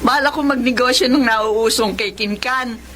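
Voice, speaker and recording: A Filipino actress playing a doting, chatty, warm-hearted grandmother in a radio drama. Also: on the high side, unhurried. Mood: teasing, delighted. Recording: clear background noise and ambience.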